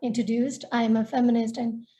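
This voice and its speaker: A woman's voice: on the high side, flat delivery, a little fast, mellow. A woman from Myanmar, a native Nepali speaker, telling her life story in earnest, reflective way as a webinar panelist over a video call. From Myanmar, northern Shan State. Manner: earnest, reflective, with small restarts. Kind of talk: personal storytelling talk.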